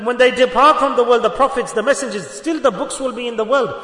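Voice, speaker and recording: A man's voice: very high voice, quick pace, a bit bright. A man whose first language is Urdu, preaching a sermon and exhorting listeners with rhetorical questions and repetition. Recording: echoey hall with long reverb.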